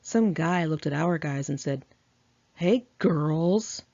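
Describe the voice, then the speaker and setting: A woman's voice: sits low, normal speed, gravelly, darkish tone, no echo, dull audio. A woman reads her own memoir aloud in an informal, candid manner, as an author narrating.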